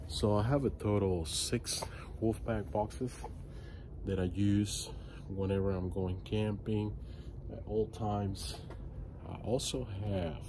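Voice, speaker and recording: A middle-aged man with a low voice talks through his camping gear in a casual, plain way. He takes his time, hesitating as he explains. It is recorded outdoors on a handheld phone with clear background noise. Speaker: gear reviewer, vlogger. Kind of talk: casual product walkthrough. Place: outdoors at night beside a truck. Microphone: phone at arm's length.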